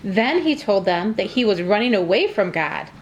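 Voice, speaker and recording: A woman narrating a scripted story for children in a simple, clearly phrased read-aloud way. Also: medium pitch, very animated, normal speed, slight background noise. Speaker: narrator of a children's church puppet show.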